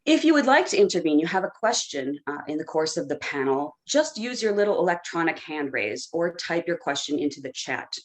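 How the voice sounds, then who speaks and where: A woman's voice: low-pitched, big pitch swings, brisk, few pauses. Woman giving friendly video-call instructions. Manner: friendly, instructive, lightly joking. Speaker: conference organizer. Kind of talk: housekeeping announcements.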